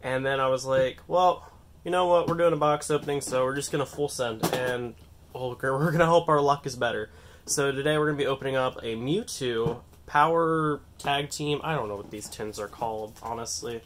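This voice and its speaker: A young man with a nasal voice from a cold, chatting excitedly and rambling as he opens trading card packs on a table. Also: mid-range voice, moderate pace, a little hiss or hum. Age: young adult. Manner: excitable, rambling, self-mocking. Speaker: card-opening channel host. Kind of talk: casual unboxing vlog. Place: indoors at a table, hands on camera.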